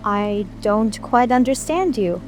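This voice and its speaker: A woman's voice: a little high, lively intonation, slow, over music. A woman playing a polite, curious and thoughtful little girl in a dramatic audiobook. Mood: puzzled, polite. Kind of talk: full-cast dramatic audiobook reading.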